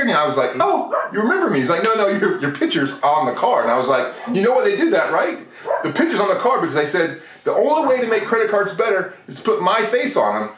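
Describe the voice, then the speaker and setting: An American man hosting a casual chat show, jokey and rambling, with halting restarts. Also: high-pitched. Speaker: podcast host. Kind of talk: casual beer review chat. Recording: roomy, distant mic, faint noise.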